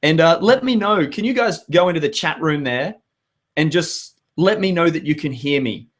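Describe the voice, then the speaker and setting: An Australian man in his thirties with a hoarse voice, hosting a live web show and chatting with viewers in an upbeat, rambling way. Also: on the high side, animated, moderate pace. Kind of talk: live webinar chat to viewers.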